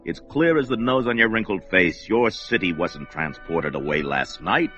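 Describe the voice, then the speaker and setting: A man playing a blunt, fearless barbarian hero in an old radio drama, gruff and commanding, over a dull recording with music. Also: low voice, lively intonation, normal speed, fairly bright tone.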